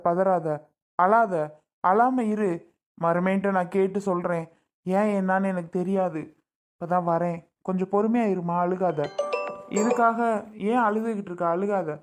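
A man's voice: high-pitched, fairly even intonation, normal speed, lightly acted. A man playing a kindly older father-in-law in a family drama, speaking with paternal concern. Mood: concerned, soothing. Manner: soothing, paternal.

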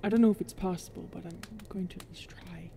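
A woman playing a huge, self-loathing young werewolf girlfriend in an ASMR roleplay, halting and emotional, sometimes dropping to a whisper. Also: on the low side, fairly even intonation, mellow, a little hiss or hum, lightly acted. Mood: subdued confiding. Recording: cricket and night ambience behind.

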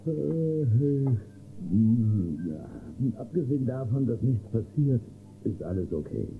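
An actor playing a marshal's wary, loyal sidekick in a radio play. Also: male voice, medium pitch, big pitch swings, slow, soft background music. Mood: hesitant. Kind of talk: German radio play acting. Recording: muffled phone-like old cassette copy.

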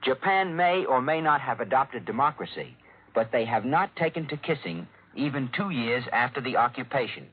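A male foreign correspondent from 1940s radio with a mid-pitched, very animated voice. He reads his report like a story for broadcast. The recording is old, thin and phone-like. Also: moderate pace, slightly rough.

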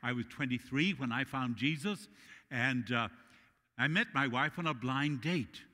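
A middle-aged man from Uruguay with a Spanish accent, preaching an animated sermon with jokes as guest speaker in a large church. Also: medium pitch, measured pace. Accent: Latin American Spanish. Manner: animated, gesturing widely while walking the stage. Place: large church sanctuary stage. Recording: long hall reverb.

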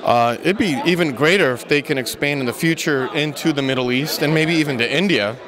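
A man's voice: a little high, a little fast. A man in his forties, a percussionist guest at a crowded event, talking in a light, jokey way into a handheld mic. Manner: jokey, scats drum sounds. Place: crowded indoor event hall. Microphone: handheld mic held by interviewer. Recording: crowd chatter behind.

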